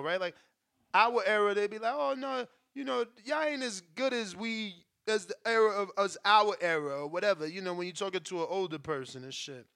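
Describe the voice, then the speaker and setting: A man with a high, bright, sharp-edged voice talks boxing in a loose, slangy show chat. He argues with animation. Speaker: podcast co-host.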